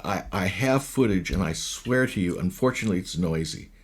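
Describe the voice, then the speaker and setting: Deep raspy man in his sixties. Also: animated, fairly quick. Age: 60s. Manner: explains at length, with many restarts. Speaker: guest, ghost hunter and recording engineer. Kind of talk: casual interview chat.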